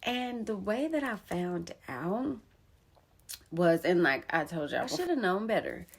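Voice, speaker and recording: Animated woman with a gravelly voice. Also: mid-range voice, a little slow. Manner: emphatic, casual slang, animated. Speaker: poet and storyteller. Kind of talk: candid personal storytelling.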